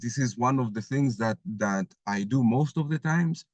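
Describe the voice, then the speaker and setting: A man giving a webinar presentation as lead instructor over a video call, pausing often with filler sounds. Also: mid-range voice, a little mellow, no room sound, dull audio. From Argentina. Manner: hesitant, many filler sounds.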